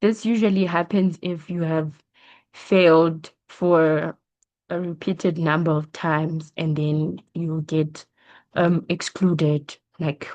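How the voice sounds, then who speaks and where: A woman's voice: low voice, steady tone, slow, a little mellow. A young woman from South Africa, a science student, patiently reassuring a school pupil in a casual advice session, with frequent ums. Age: young adult. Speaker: science student answering questions. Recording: dull lo-fi audio.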